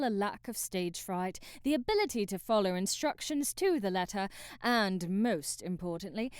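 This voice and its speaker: A woman's voice: on the high side, a little mellow, no room sound, studio quality. A woman playing an eccentric traveling magician, brash, teasing and flirtatious, in an intimate, theatrical ASMR roleplay. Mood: businesslike, stern. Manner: theatrical, teasing, drawling asides and murmurs.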